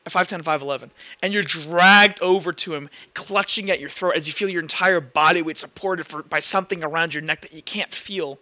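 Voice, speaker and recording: A man's voice: on the high side, brisk, hardly any pauses, bright, forceful tone, no room sound, dull audio. A man improvising narration as a tabletop game master, informal and hesitant as he pieces the scene together.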